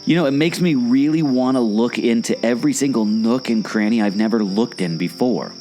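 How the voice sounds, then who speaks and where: A man playing an eager, observant adventurer sidekick in a scripted audio adventure drama, with excitable, exclamatory delivery. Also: medium pitch, a little fast, faint music behind, natural voice. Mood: excited curiosity. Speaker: podcast host acting in radio play.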